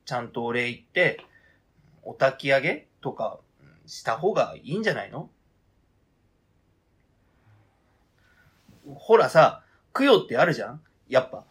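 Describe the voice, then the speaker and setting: A man acting a hesitant, flustered partner in an amateur radio drama, protesting in a halting, conversational way. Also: mid-range voice, very animated, a bit bright, breathy edge. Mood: cautious persuading.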